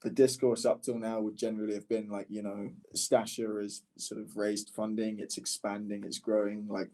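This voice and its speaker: Low, even-voiced man in casual conversation. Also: moderate pace. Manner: hesitant, rephrasing mid-sentence, candid. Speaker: startup founder and interview guest. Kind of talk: casual conversational podcast interview.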